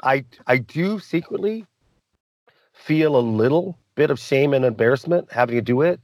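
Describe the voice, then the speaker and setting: An American man in a relaxed interview, speaking at an ordinary middle pitch. He sounds earnest and reflective, and he often restarts and trails off before finishing a thought. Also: normal speed, no echo, clean studio sound. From United States. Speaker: actor guest. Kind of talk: casual interview talk.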